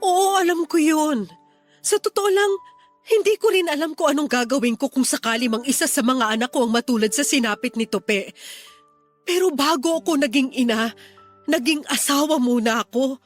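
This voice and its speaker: A woman's voice: high voice, moderate pace, close to an everyday voice. A Filipino woman playing a desperate wife and mother pleading earnestly with her sister-in-law in a radio drama. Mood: distressed, insistent. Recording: music under the dialogue.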